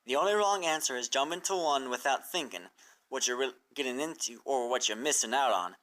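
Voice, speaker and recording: A performer voicing a steady, protective farm pony big sister in a cartoon fan fiction reading, folksy and frank. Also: medium pitch, fairly quick, lightly acted. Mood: warmly frank.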